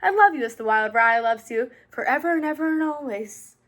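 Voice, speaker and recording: A woman voicing gentle mother animals in a storybook, soothing and reassuring their young in measured phrases. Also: high-pitched, lively intonation. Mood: tender, reassuring.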